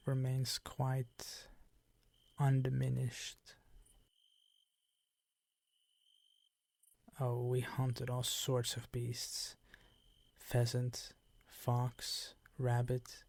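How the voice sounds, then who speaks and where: An ageless, predatory vampire count, played by a man for an intimate ASMR roleplay. He speaks in a controlled, fairly even tone, close and dry, with formal courtesy that turns condescending and quietly menacing. The creak in his voice sits under every teasing phrase. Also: mid-range voice, dry recording. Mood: menacing, nostalgic.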